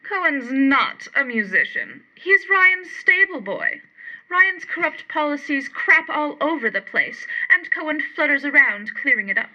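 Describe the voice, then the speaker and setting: A woman's voice: high voice, very animated, measured pace, dull audio. An actress playing a sardonic, rebellious female satirist, delivering a mocking, arch monologue in a radio drama.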